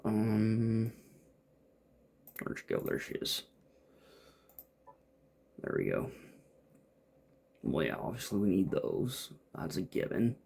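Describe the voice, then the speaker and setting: A man's voice: lowish voice, sing-song, slow pace, gravelly, pauses often, darkish tone, breathy edge. A man giving casual, off-the-cuff gameplay commentary, muttering and thinking aloud to himself as he plays. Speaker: gamer playing and commenting.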